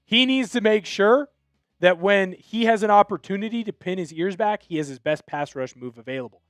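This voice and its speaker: A man's voice: high voice, moderate pace, fairly bright tone, no echo, studio quality. A young man from Washington State hosting a live football talk stream, opinionated and fired up as he reads out viewer texts. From Washington State, USA. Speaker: sports show host.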